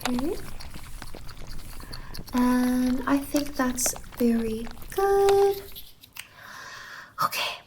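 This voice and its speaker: A woman performing ASMR roleplay as a friendly salon stylist, speaking softly and reassuringly with some whispering, close to the microphone. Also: high voice, animated, extremely slow, long pauses, a little hiss or hum, rough recording. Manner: gentle, reassuring, addresses listener as a client. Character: friendly whimsical female stylist in a dream-world salon.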